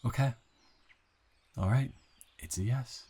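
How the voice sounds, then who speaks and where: A man playing a nervous, smitten new guy in town in an improvised romantic audio roleplay, halting and playfully teasing. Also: mid-range voice, dark tone, slight background noise, lightly acted. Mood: hopeful, playful.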